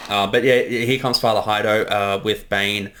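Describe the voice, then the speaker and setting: A man's voice: fairly low pitch, steady tone, moderate pace, fairly bright tone, a little hiss or hum. A man giving casual director's commentary as the creator of a comedy production. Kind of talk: casual film commentary chat.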